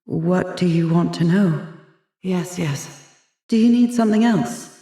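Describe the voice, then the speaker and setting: A man's voice: high-pitched, measured pace, dark tone, echoey room. A man playing a haughty, haunted asylum doctor in a video game, theatrical and erudite, fretful and hesitant, obsessed with his art. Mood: indignant. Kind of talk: dramatic video game dialogue.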